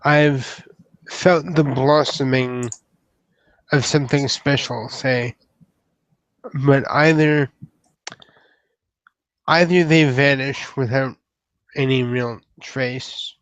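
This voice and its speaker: A man playing a shy, polite, lovelorn male troll, with halting and formal wording in a tabletop roleplay. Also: mid-range voice, fairly even intonation, dry recording, natural voice, poor audio. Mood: shy, sad.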